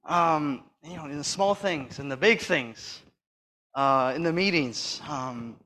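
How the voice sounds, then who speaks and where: Soft-spoken male preacher, slightly high voice, halting delivery with emphatic peaks. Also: poor audio. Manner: hesitant, with fillers and restarts. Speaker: church speaker. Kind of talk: sermon with Bible reading.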